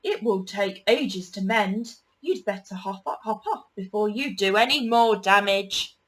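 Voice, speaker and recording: A woman voicing a brisk, bossy male train driver in a children's picture book, emphatic and matter-of-fact. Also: medium pitch, a bit bright, close to an everyday voice. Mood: grumpy.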